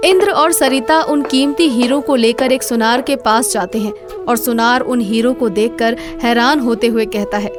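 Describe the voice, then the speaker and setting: A woman's voice: a little high, moderate pace, over music. A woman narrating a scripted moral story as the storyteller.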